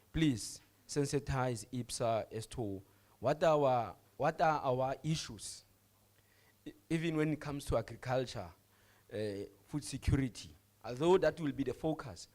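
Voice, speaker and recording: A man's voice: medium pitch, darkish tone. A man in the audience at an academic conference, posing a question with some hesitation. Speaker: audience member asking a question.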